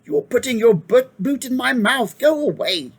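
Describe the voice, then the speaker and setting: A man voicing a gruff male dwarf warrior who bellows angrily. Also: very high voice, animated, normal speed, voice pushed up, full character voice. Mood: angry. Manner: angry, bellowing.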